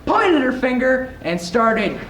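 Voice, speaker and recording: A man's voice: extremely high pitch, sing-song, slow pace, fairly bright tone. A teenage boy telling a comic story as a speech, animatedly acting out the dialogue. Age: teens. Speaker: student speech performer. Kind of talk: comedic storytelling speech to an audience. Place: large echoey indoor room before an audience. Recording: very noisy, echoey room recording.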